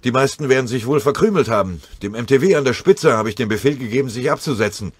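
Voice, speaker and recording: Urgent, commanding male army sergeant for war audiobook, acted by the narrator, lifted pitch, barking orders. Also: fast, husky, no room sound, close to an everyday voice. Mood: hurried.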